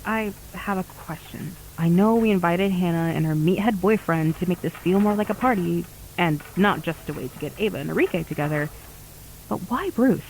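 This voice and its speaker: A woman playing a questioning high school girl in an amateur audio drama, with a casual conversational manner. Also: normal speed, a little mellow, slight background noise, close to an everyday voice, low-quality recording. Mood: questioning, disapproving.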